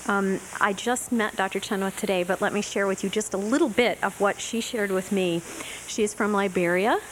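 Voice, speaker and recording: A woman's voice: medium pitch, steady tone, brisk, a little hiss or hum, low-quality recording. A woman host introducing a guest speaker, reading notes with frequent ums and self-corrections. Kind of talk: formal introduction.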